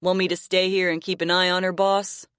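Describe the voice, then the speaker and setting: A woman reads a rough male henchman with a gravelly voice. He speaks quickly and eagerly. The sound is dry and close. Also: fairly low pitch, level tone, a bit bright, dry recording, close to an everyday voice.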